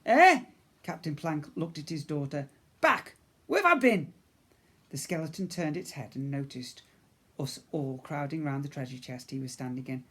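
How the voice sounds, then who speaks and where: A man reading a children's story aloud in an expressive narrating style, as a teacher would for young pupils. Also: on the high side, moderate pace, slightly rough.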